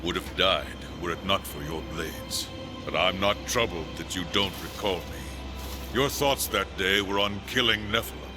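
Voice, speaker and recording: An actor playing a seasoned, steadfast angel warrior in a fantasy game, speaking formally and earnestly. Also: male voice, sits low, moderate pace, over music, lightly acted. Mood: grave, steadfast. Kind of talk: dramatic game cutscene acting.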